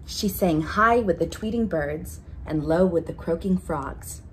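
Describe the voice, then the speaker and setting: A woman's voice: on the low side, measured pace, low-quality recording. A woman reading a children's picture book aloud expressively, drawing out key words for emphasis. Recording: noticeable background noise.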